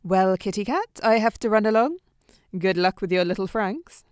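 A woman's voice: mid-range voice, animated, normal speed, a little mellow, no echo, studio quality, soft background music, lightly acted. An actress playing a self-assured, flippant woman with a breezy, sarcastic manner. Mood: teasing, breezy.

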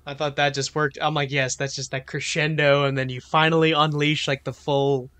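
Young male host with a higher voice; eager, explanatory music-fan talk. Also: level tone, moderate pace, sharp tone, clear, resonant tone. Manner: enthusiastic, explains song meanings. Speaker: podcast host. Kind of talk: casual music chat.

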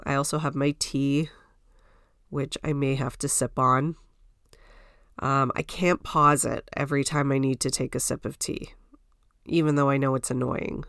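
A woman's voice: extremely low pitch, steady tone, a little slow, a bit bright, no room sound, studio quality. A woman hosting a solo podcast from home, congested from a cold, chatting in a rambling way with lots of "like" and "you know". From Canada. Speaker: podcast host. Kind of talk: casual solo podcast chat.

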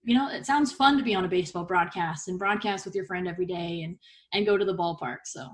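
A woman's voice: lowish voice, fast. An upbeat, chatty woman from Texas who laughs easily, speaking casually as an interview guest on a sports podcast. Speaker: podcast guest, baseball broadcaster.

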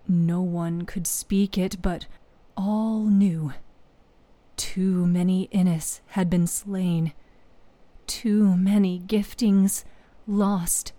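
A woman narrating a scripted fantasy story in a solemn, dramatic manner with hushed phrase endings. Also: on the low side, no room sound.

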